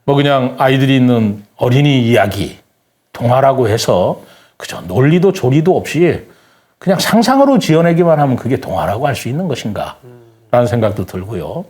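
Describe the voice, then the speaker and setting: A man's voice: medium pitch, very animated, normal speed, darkish tone. A man speaking as a guest writer in a reflective talk, explaining things earnestly in polite, formal speech and telling stories. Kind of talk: reflective talk-show conversation with storytelling.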